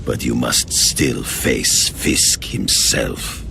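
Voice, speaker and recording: Deep gravelly russian hunter, slow and grave, bright edge. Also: male voice, noisy background, exaggerated voice. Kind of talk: video game cutscene.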